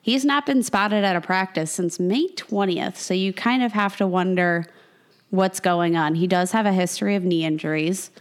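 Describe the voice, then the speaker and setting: A woman co-hosting a casual fantasy football podcast, her voice a little raspy from a cold, talking in a chatty, self-mocking way. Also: mid-range voice, normal speed. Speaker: podcast co-host.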